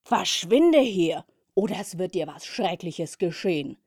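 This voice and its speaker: A woman's voice: low-pitched, lively intonation, normal speed, warm tone, no echo, studio quality. A woman doing a plump, plucky bumblebee girl. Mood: determined. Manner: sighing, plain-spoken. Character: plump young female bumblebee, self-conscious but brave.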